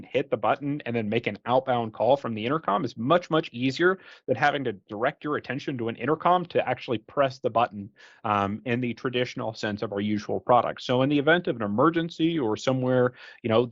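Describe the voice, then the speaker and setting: An American man talks through a product presentation in a casual, conversational manner. His voice sits at an average pitch and he peppers his explanations with "you know". The recording is very dry and a little dull, with lo-fi sound. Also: no room sound. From United States.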